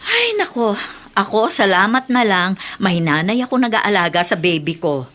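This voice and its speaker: A woman's voice: mid-range voice, very animated, moderate pace, fairly bright tone. A woman playing a chatty, envious young mother in a Filipino radio drama, gossipy and exclaiming.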